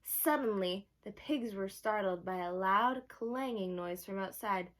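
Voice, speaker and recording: A woman storyteller reading a storybook aloud to children, expressive, with asides and questions to her young listeners. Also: medium pitch, a little slow.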